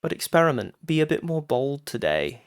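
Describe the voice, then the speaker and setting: A man giving a guided meditation talk as a teacher, phrasing deliberately with frequent pauses and addressing listeners directly. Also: on the high side, sing-song, smooth, dry recording, studio quality.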